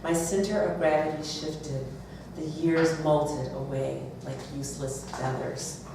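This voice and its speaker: A woman's voice: deep voice, fairly even intonation, measured pace. A woman author speaking and reading aloud at a live event. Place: bookstore, audience event. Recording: roomy, distant, noisy live event recording.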